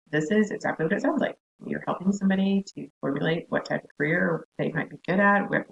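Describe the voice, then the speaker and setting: A hoarse woman with a scratchy voice, giving a recorded counseling class lecture in a chatty way with asides. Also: low-pitched, a bit bright, muffled recording. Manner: chatty, laughs, self-interrupting asides. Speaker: counseling instructor.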